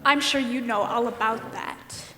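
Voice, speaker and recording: A woman's voice: fairly high pitch, a little slow, bright, forceful tone. A woman playing an earnest, challenging juror in a live stage play. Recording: hall reverb, faint noise.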